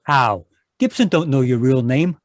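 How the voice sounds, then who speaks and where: An actor playing a hot-tempered American small-time crook in an old-time radio crime drama, talking in gruff, slangy tough-guy style. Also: medium pitch, lively intonation, unhurried, dry recording, clean studio sound, close to an everyday voice. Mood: edgy, gruff. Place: performed from home on a video call.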